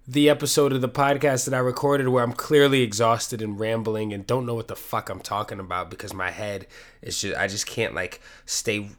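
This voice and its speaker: A man with a somewhat low voice rambles through a casual solo podcast from his apartment. He is sniffly and sick with a cold, and he talks loosely and profanely, with a self-deprecating tone. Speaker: podcast host. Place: home apartment.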